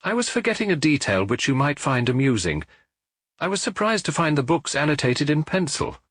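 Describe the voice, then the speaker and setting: A man's voice: a little high, very animated, brisk, a bit bright, no room sound, studio quality, voice pushed up. A man voicing a talkative, forthcoming bookshop owner in the dialogue of a detective audiobook. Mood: bemused, explanatory. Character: talkative Parisian bookshop owner recalling a reserved customer.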